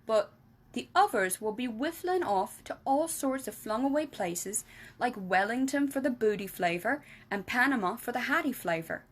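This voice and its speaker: A woman's voice: lively intonation, moderate pace. A woman reading aloud and voicing a big, kindly giant who mangles his grammar and invents words. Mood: explaining. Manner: jumbled grammar, made-up words, booming. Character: big friendly giant, kindly, muddled speech.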